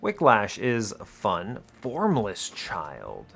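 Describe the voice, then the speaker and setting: A man's voice: fairly low pitch, wildly swooping pitch, extremely slow, gravelly, fairly bright tone, husky. Man thinking aloud over music, wry and casual. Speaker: video game streamer explaining his play.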